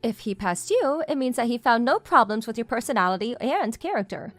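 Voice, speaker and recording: A woman's voice: on the high side, very animated, fairly quick, no echo, faint music behind. A young woman playing a confident, pushy female CEO who teases her junior in a manga dub romance. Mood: confident, teasing. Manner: straightforward, teasing, self-assured.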